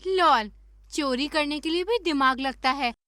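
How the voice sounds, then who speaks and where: A woman playing a sharp-tongued, sarcastic village wife who scolds and nags in a cartoon story. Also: high-pitched, a little slow, no echo, clean studio sound. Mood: mocking, scolding. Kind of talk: Hindi cartoon story dubbing.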